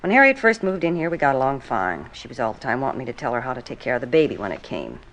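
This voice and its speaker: An actress playing a blunt, defensive, gossipy apartment manager with an American accent in 1950s radio drama style. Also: female voice, a bass voice, big pitch swings, fast talker, fairly bright tone, slight background noise, full character voice. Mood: confiding. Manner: blunt, gossipy, folksy clipped grammar. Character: brusque, defensive, talkative apartment manager woman with children. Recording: vintage 1950s radio recording.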